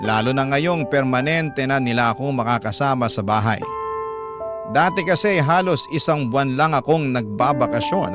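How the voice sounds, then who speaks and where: A man playing a humble, anxious seaman father, narrating his letter in a measured, confiding way with a Filipino accent. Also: mid-range voice, natural voice. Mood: apprehensive. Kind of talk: dramatic first-person letter narration. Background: music.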